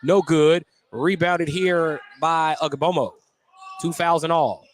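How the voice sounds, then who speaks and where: A man's voice: on the high side, steady tone, slow pace. Male basketball play-by-play announcer, noisy background. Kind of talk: live radio basketball commentary. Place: at courtside or press position in a gym during a game.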